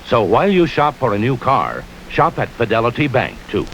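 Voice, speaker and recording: Male announcer, American accent, animated intonation, mid pitch, scripted ad, light AM radio hiss. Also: moderate pace, poor audio. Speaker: commercial announcer. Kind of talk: scripted bank advertisement. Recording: hissy 1970s AM radio commercial.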